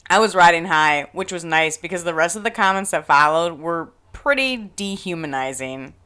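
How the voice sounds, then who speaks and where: A woman with an American accent hosting a podcast, reading scripted personal narration in an expressive, emphatic way. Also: lowish voice, moderate pace, smooth. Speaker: podcast host.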